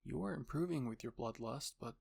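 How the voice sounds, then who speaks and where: A man's voice: mid-range voice, fairly quick, vocal fry, a little mellow, husky. A man narrating a scripted roleplay story, addressing the listener in the second person.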